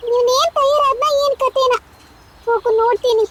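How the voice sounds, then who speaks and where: An exasperated cartoon mother from a village, as acted by a performer speaks in an extremely high, childlike pitch. She nags, grumbles and coaxes. There is some noise around the voice. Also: fairly even intonation, normal speed, a bit bright. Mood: grumbling. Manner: nagging, grumbling, coaxing. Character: exasperated village mother scolding and coaxing her son. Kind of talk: cartoon dialogue in colloquial village speech.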